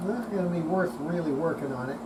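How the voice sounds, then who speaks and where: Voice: mid-range voice, fast, heavy background noise. A person demonstrating radio repair in a casual, plainspoken way, thinking aloud while working through the job. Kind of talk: casual hands-on workshop commentary.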